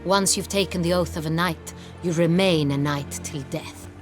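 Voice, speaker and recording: A low female voice from an actress plays an imperious game duchess, regal and formal. She speaks as a ruler used to obedience, haughty and easily indignant. Faint music plays underneath. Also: moderate pace, lightly acted. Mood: stern. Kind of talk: video game character acting.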